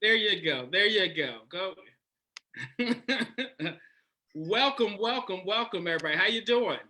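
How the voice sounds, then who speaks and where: A man's voice: high voice, animated, a little slow, sharp tone. A man hosting a casual dating chat on a video call, playful and laughing often. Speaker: dating coach host.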